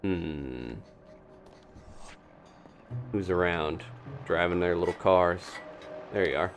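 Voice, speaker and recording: A man's voice: sits low, slow, a little hiss or hum. A man giving casual live gameplay commentary as a streamer, thinking aloud as he plays. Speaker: game streamer, host.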